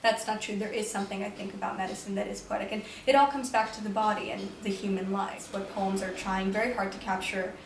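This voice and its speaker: A woman's voice: medium pitch, fairly even intonation, fast, creaky, hardly any pauses. A young woman poet, raised in New York with Romanian as her first language, answering interview questions in a thoughtful, reflective way with frequent hedges and restarts. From New York, United States. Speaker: poet, prize winner. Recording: echoey room, voice from far off, faint noise.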